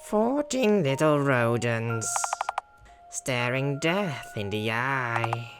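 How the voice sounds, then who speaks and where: A game streamer voicing a creepy male killer who speaks in a slow, threatening manner. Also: mid-range voice, very animated, over music, voice pushed down, exaggerated voice. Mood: menacing, slow.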